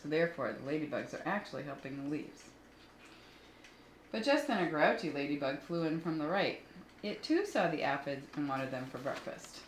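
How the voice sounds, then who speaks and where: A woman reading stories aloud for children in an expressive, explanatory storytelling style, with small asides to the listeners. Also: sits low, normal speed. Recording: roomy echo with faint background noise.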